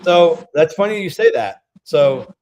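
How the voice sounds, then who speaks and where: A man's voice: fairly high pitch, measured pace, a little mellow, muffled recording, slight background noise. A man with a Rhode Island accent, chatting casually on a livestream as a beer-review vlogger, rambling a little as he talks. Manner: chatty, rambling, reads out viewer comments.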